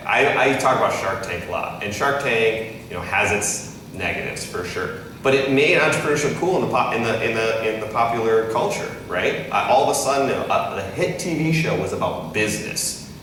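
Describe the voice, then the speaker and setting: A man's voice: lowish voice, expressive, normal speed, fairly bright tone. A man in his thirties, an entrepreneur and investor, answering interview questions in a loose conversational way, often adding "right?" as he talks. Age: 30s. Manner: conversational, many restarts and "right?" tags. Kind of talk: casual live interview on stage. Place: event hall with audience. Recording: echoey hall, far from the mic, noisy.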